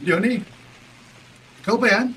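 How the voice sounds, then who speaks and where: A man's voice: high-pitched, unhurried, pushed and bright, slight background noise, low-quality recording. An actor playing a friendly, considerate young man in a Filipino radio drama, with an easygoing manner.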